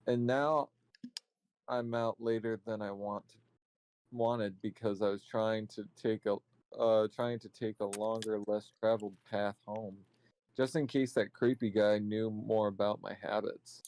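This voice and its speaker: A man reading visual novel narration aloud, halting at times and correcting his slips. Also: fairly low pitch, steady tone, a little mellow, no echo.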